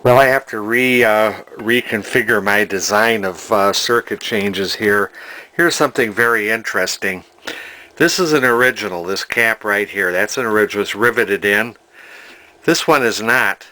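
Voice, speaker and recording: A man giving an informal hands-on repair demonstration in a halting way, thinking aloud as he explains. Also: lowish voice, pushed and bright. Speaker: hobbyist radio repairer, presenter. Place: indoor workshop at a workbench.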